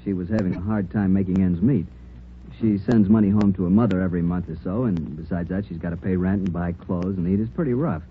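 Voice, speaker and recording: A polite, puzzled American boyfriend played by a man in a 1940s radio drama. He speaks low and quickly, earnest and a little hesitant. The sound is muffled with faint noise. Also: natural voice. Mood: earnest, worried. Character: polite, puzzled, concerned young boyfriend, dental lab worker.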